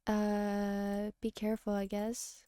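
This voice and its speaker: A woman voicing an anxious, dry-witted teenage schoolgirl in a game, speaking softly and hesitantly. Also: medium pitch, monotone, slow, clear, resonant tone, no echo, lightly acted. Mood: hesitant, uneasy.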